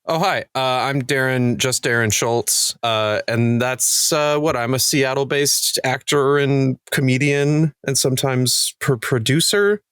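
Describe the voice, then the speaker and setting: A man's voice: medium pitch, measured pace, few pauses, fairly bright tone, smooth, no room sound, clean studio sound. Male comedian castmate, mid-range voice, wry and conversational. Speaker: podcast cast member, actor and comedian. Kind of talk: casual chat.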